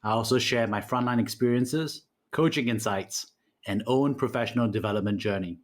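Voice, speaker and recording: A man's voice: medium pitch, normal speed. A man hosting a podcast, reading a scripted intro and then greeting his guest in an upbeat, enthusiastic way. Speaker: podcast host.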